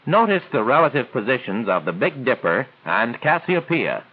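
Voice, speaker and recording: A man's voice: medium pitch, big pitch swings, breathy edge, narrow, tinny audio. A man reading scripted instructional narration in a formal, didactic 1940s training film style. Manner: formal, didactic, measured phrasing. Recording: thin, band-limited 1940s film soundtrack.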